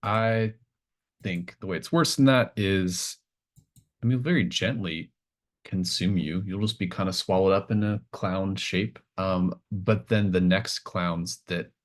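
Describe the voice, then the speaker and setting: A man with a low-pitched voice acts as game master at a tabletop session. He narrates off the cuff and casually, in halting phrases that he builds as he goes.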